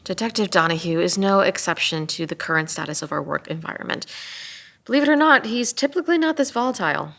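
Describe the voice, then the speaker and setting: A woman playing an earnest, empathetic police detective at a drama table read, measured and sometimes hesitant. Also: medium pitch, animated, vocal fry, fairly bright tone. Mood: calm, probing.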